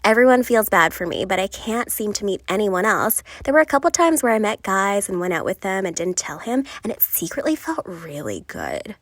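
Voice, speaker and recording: A woman playing a lovelorn, frustrated passenger venting confessionally in an animated series. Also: mid-range voice, normal speed, few pauses, dry recording, clean studio sound. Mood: wistful, frustrated.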